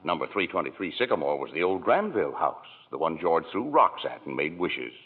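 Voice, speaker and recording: A fatherly senior angel tells the story calmly, in a very deep, husky voice. His delivery is unhurried, in old radio style, and comes through a thin, tinny broadcast sound. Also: exaggerated voice. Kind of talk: 1940s radio acting.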